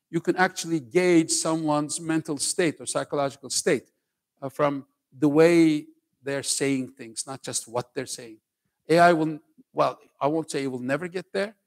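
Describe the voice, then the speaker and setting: An older man with a light Turkish accent speaks from a large auditorium stage in a somewhat high voice. He lectures in a loose, joking way and keeps tagging his points with "okay?". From Turkey. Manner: conversational, keeps asking "okay?", jokes with the crowd. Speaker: professor giving a talk to students. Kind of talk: lively lecture to a school audience.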